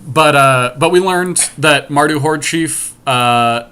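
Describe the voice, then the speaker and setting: A man's voice: fairly high pitch, slow pace, sharp tone, slight background noise. A man co-hosting a podcast with casual, unscripted commentary. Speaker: podcast co-host.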